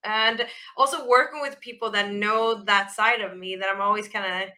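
A woman rock vocalist with a naturally raspy voice, chatting casually in an interview, with lots of fillers and restarts. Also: medium pitch, steady tone, moderate pace, pushed and bright. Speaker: rock band vocalist, guest.

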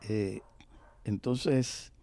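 Slow, halting Puerto Rican man. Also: on the low side, steady tone, dark tone, no room sound. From Puerto Rico. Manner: halting, searching for words with long pauses. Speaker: interviewed professor and author. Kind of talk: conversational oral history storytelling.

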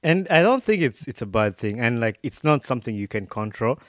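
A man from Uganda hosting a podcast in a relaxed, casual way, leaning on "like" and restarting his sentences. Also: medium pitch, fairly quick, dry recording, clean studio sound. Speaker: podcast host. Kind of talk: casual interview chat. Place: in America.

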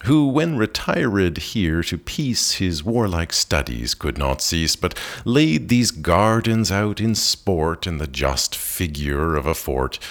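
A man giving a slow, expressive reading of a long poem, with measured phrasing and an occasional restart. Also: deep voice. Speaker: poetry reader. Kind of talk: slow dramatic poetry reading.

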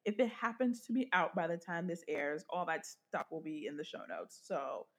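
A woman's voice: lowish voice, animated, moderate pace. A woman hosting a podcast interview, upbeat and encouraging, gushing with enthusiasm. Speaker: podcast host. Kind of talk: casual interview chat.

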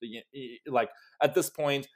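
A man in his thirties from Turkey, telling personal stories as an interview guest and acting out remembered dialogue in an animated manner. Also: mid-range voice, unhurried. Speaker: interview guest, startup co-founder. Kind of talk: conversational personal storytelling.

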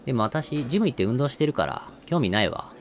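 A man's voice: mid-range voice, big pitch swings, fast talker, voice pushed up. Man putting on a breezy, noncommittal woman. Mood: breezy, reluctant. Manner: feminine phrasing, noncommittal. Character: Catherine, casual woman consumer, breezily reluctant. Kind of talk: amateur radio drama dialogue.

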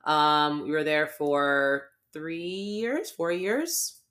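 A woman's voice: deep voice, lively intonation, extremely slow, a bit bright. A young adult woman co-hosting a podcast, chatting casually and rambling with lots of "like" fillers. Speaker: podcast co-host.

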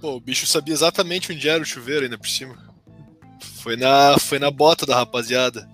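Bright-voiced joking man. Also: mid-range voice, steady tone, brisk, soft background music. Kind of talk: casual podcast chat.

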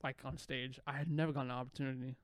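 A man's voice: medium pitch, little pitch movement, very fast, clear, resonant tone, no echo, studio quality. A young man, a rapper, chatting casually on a podcast. He rambles loosely and swears a lot.

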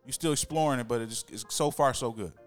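Fast-talking man hosting a podcast over music. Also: medium pitch. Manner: slangy, conversational, probing. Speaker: podcast host. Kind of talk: casual chat. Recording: music under the talk.

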